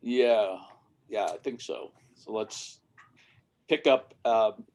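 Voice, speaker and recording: A man's voice: on the low side, slow pace, a little mellow, muffled recording. A man hosting and moderating an online discussion over a video call, talking loosely with many fillers. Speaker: host.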